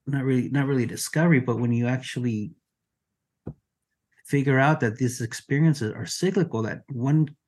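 A man's voice: medium pitch, moderate pace, a little mellow, slightly rough. A man from Mexico, a native Spanish speaker, talking earnestly and reflectively as a guest in a conversational podcast interview. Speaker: podcast guest, music collection digitizer.

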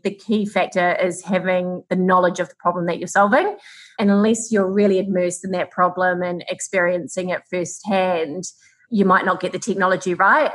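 Kiwi woman, kinda low voice, chatting in an interview as a founder and farmer. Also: a bit bright. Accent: New Zealand. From New Zealand. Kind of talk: conversational interview answers.

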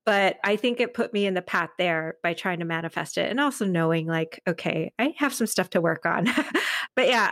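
A middle-aged woman speaks as a guest in a relaxed, informal chat. Her voice sits at an average pitch, and she tells personal anecdotes in an animated way. The sound is dry, with very little room on it. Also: dry recording. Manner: animated storytelling, acting out quoted remarks; informal, peppered with "like". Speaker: podcast guest.

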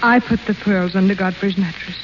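An actress playing a spoiled, now repentant young society daughter in a 1930s radio play, earnest and contrite. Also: female voice, medium pitch, steady tone, brisk, natural voice. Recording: muffled old radio broadcast with hiss.

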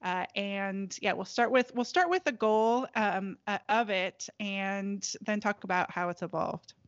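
A woman hosts an online interview show in a friendly, promotional tone. Her voice sits at a middle pitch, close and dry, with a slightly muffled sound. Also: a little slow, dry recording. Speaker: podcast host. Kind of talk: hosting an interview.

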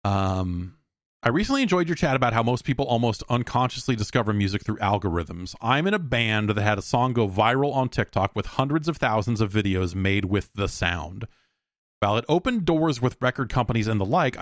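An American man hosting a podcast alone in a casual, rambling, halting way, thinking aloud and speaking bluntly. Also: medium pitch, dry recording, studio quality. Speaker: podcast host. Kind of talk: casual solo podcast talk, reading listener emails.

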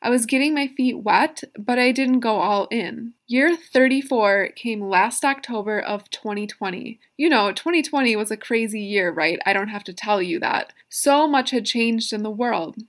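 A woman in her thirties talks straight to her listeners, earnest and personal, and breaks off now and then for emphatic asides. Her voice sits a little high for a woman. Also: normal speed, few pauses. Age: 30s. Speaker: podcast host. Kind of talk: motivational solo podcast.

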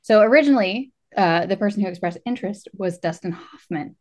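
A woman's voice: medium pitch, expressive, fairly quick, a little mellow. A woman hosting a podcast, bantering casually about films with self-deprecating enthusiasm. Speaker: podcast host.

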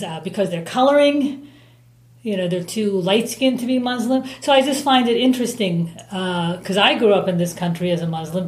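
Thoughtful, hesitant woman in an echoey room. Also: fairly low pitch. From United States. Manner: thoughtful, hesitant, with frequent ums and pauses. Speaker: interviewee, mother of a teen. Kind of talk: reflective interview answers.

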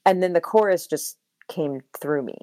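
A woman's voice: fairly low pitch, moderate pace, dark tone, no room sound. An American woman talking reflectively in a casual interview, with many fillers and laughs. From United States. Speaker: singer-songwriter guest.

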